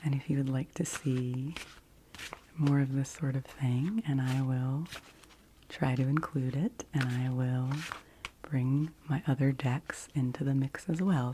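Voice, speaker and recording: A woman in her forties whispers softly and gently in an unhurried ASMR style, close to the microphone. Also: a bass voice, background noise. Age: 40s. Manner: soft, gentle, unhurried delivery. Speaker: ASMR host doing an oracle card reading.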